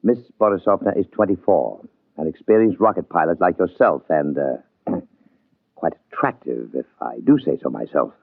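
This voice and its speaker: An actor playing a dignified, diplomatic President in a 1950s radio drama, formal, deliberate and stately. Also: male voice, sits low, lively intonation, vocal fry, no echo, exaggerated voice. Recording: muffled, narrow 1950s radio broadcast.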